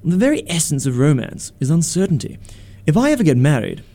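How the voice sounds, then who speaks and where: A man acting in a radio play as a witty, idle young gentleman in a comedy of manners, with arch, languid, ornate phrasing. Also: a little high, sing-song, a little fast. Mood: airy, flippant. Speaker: amateur radio theatre actor.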